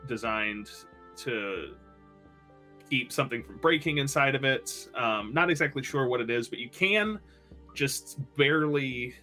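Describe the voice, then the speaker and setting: A man's voice: mid-range voice, expressive, a little slow, bright, forceful tone, faint music behind. A man narrating a tabletop game as game master, conversational and descriptive with a playful streak. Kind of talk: improvised tabletop game narration among friends.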